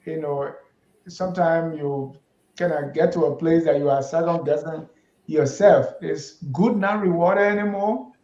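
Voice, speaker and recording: A man hosts a casual panel talk, musing aloud with a fairly high voice and lots of restarts. The sound is roomy and a little dull, like a lo-fi recording. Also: unhurried, a little mellow. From Liberia. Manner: reflective, hesitant, many restarts. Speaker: host.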